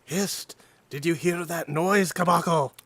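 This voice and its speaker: A man's voice: fairly high pitch, a little slow, vocal fry, a bit bright, full character voice. A man voicing a jumpy male sailor who keeps insisting he hears a noise below deck, urgent and insistent.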